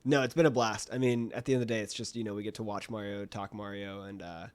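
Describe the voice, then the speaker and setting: A man's voice: lowish voice, fairly even intonation, quick pace. A man hosting a podcast in a casual, enthusiastic and informal way. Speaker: podcast host.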